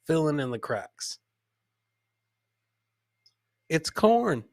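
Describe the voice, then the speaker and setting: A man's voice: on the high side, very animated, unhurried, no room sound, clean studio sound. A man hosting a casual comedy clip show, chatting to his co-host and viewers and often repeating phrases for comic effect. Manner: chatty, addresses co-host and live viewers by name.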